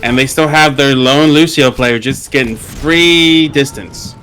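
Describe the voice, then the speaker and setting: A man who is not a native English speaker calls the action in an esports match with excited, quick play-by-play. His voice sits at an ordinary middle pitch. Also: a little hiss or hum, poor audio. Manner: fast, excited calling of the action. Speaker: esports caster. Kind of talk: play-by-play commentary.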